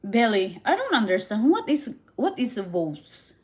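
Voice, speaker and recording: A woman with a Filipino accent, whose first languages are Visayan and Tagalog, chatting casually on a live stream and answering viewer comments in halting English with frequent restarts. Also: fairly high pitch, huge pitch swings, darkish tone. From Philippines. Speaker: livestream host.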